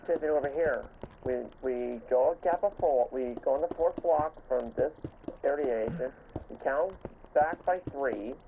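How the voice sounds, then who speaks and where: A man talks through a building game in a casual, instructional way. His delivery is repetitive and stumbling, with an occasional stutter. The sound is thin and phone-like, with faint noise behind it and some creak in his voice. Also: mid-range voice, measured pace. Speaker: gaming video host. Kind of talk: casual Minecraft building tutorial commentary.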